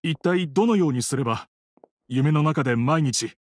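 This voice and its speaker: A man's voice: a little high, moderate pace, slight background noise, lightly acted. A man playing a grieving widower in an anime game, speaking politely, earnestly and with hesitation. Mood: pleading. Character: grieving adult widower, polite, troubled villager. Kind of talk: Japanese anime game voice acting.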